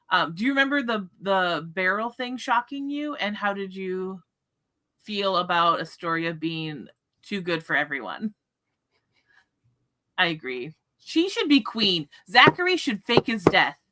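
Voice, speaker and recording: A woman hosting a casual book discussion livestream, chatting in an animated way. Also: mid-range voice, normal speed.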